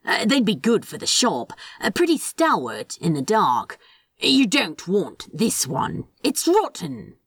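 A woman's voice: medium pitch, wildly swooping pitch, slow pace, slightly rough, no echo, studio quality, heavily acted. A woman voicing a fond, prickly male demon in a story, drawling and hesitant, easily exasperated. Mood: defensive, careful.

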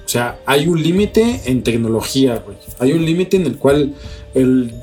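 A man's voice: medium pitch, expressive, normal speed, a little mellow. A Mexican man with a mid-range voice talks as a podcast co-host. He argues casually and with strong opinions, peppering his speech with slang and swearing. Faint music plays behind him. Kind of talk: casual conversational debate.

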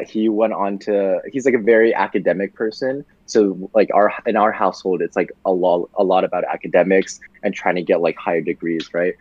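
A young man, a podcast guest, talking casually about his childhood, relaxed and full of fillers, laughing easily. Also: fairly low pitch, dry recording, muffled recording. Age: young adult. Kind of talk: casual conversational storytelling.

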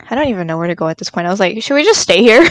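A woman's voice: medium pitch, very animated, rapid-fire, no echo. A woman in her early twenties in casual chat, giggly and telling stories with quoted asides.

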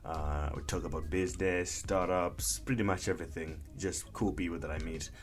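A young man from Malawi hosting a podcast, chatting in a relaxed way and often agreeing with his guest. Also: deep voice, moderate pace. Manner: relaxed, chatty, with frequent affirmations. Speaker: podcast host. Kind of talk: casual interview conversation.

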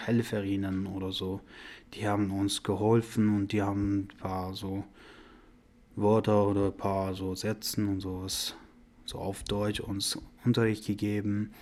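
A man in his twenties with an Afghan accent speaks as a non-native in an interview. His voice is fairly low and his delivery very slow. He gives a personal account in simple, halting phrases. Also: a little mellow. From Afghanistan and Iran. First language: not German. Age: 20s. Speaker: podcast interviewee.

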